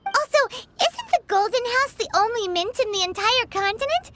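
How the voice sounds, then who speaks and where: A woman voicing a tiny, childlike floating fairy companion, chirpy, curious and blunt, with a squeaky voice in a game cutscene. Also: big pitch swings, moderate pace, very noisy. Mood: inquisitive. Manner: refers to self in third person, exclaiming. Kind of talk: scripted game cutscene dialogue. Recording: loud background music under dialogue.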